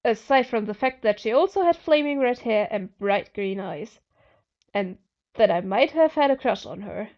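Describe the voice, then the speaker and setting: A woman's voice: on the high side, lively intonation, normal speed, muffled recording. A woman narrating first-person fiction expressively as an amateur audiobook reader.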